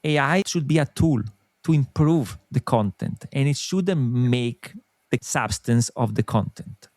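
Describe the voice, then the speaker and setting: A middle-aged man from Italy with an Italian accent, a guest in an interview, explaining his views in an earnest, animated way. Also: medium pitch, measured pace, no room sound. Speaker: podcast company co-founder, guest. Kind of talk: interview conversation.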